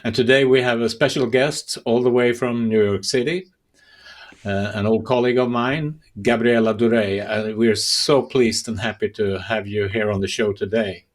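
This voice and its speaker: Halting male podcast interviewer. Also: on the low side, fairly even intonation. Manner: halting, repeats words as they search for phrasing. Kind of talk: conversational interviewing.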